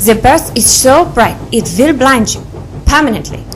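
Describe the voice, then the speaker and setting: Lady acting proud precise scientist woman in adventure audio play, highish voice, noisy ambience. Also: animated, normal speed. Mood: matter-of-fact. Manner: precise, formal, no contractions. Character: proud, precise female inventor, coolly confident scientist.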